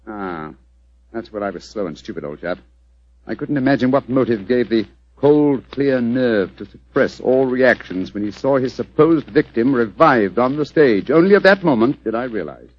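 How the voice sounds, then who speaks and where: An actor playing a shrewd, urbane British gentleman detective in 1940s radio drama style, with precise theatrical phrasing. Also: medium pitch, lively intonation, moderate pace, slightly rough. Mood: brisk, explanatory. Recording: dull lo-fi vintage radio recording.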